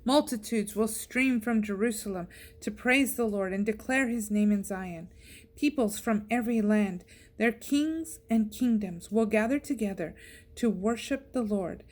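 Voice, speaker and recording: A woman's voice: medium pitch, measured pace, darkish tone. A woman reading a psalm aloud as a scripture reader.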